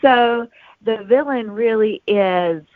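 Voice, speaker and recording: A woman's voice: fairly high pitch, slow pace, dry recording. A woman author giving explanatory answers as an interview guest. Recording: narrow phone-like sound, very dry.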